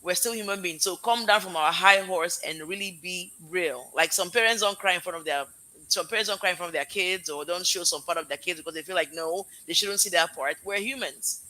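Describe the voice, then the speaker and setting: A woman with a Nigerian accent blended with American tones hosts a casual live stream. Her voice is intensely bright and sharp. She pours out effusive, heartfelt thanks and rambles warmly from one guest to the next. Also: fairly low pitch, a little fast, poor audio. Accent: Nigerian with American mix. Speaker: live show host, parent.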